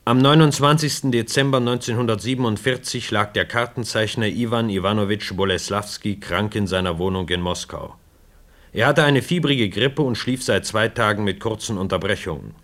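A man narrating a radio play from a script in a measured, matter-of-fact way. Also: on the low side, fairly bright tone, natural voice.

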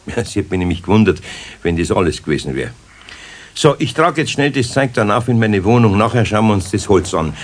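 An actor playing a good-natured older master carpenter with a Bavarian accent, homely and wryly strict, in a children's radio play. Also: male voice, lowish voice, lively intonation. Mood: businesslike. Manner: homely, unhurried, wry. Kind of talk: 1970s German children's radio play acting.

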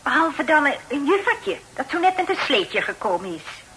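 An actress playing a friendly, chatty, respectful young housemaid in a radio drama. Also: female voice, sits high, sing-song, normal speed. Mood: cheerful, chatty. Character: cheerful, familiar young housemaid. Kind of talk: Dutch radio drama acting. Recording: noisy old radio play recording.

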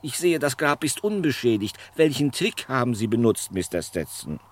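A man's voice: medium pitch, very animated, moderate pace. An actor playing an officious, rule-bound cemetery watchman in an old German radio play, pedantic and stiffly polite. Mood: pedantic, fussy. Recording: old radio recording, faint noise.

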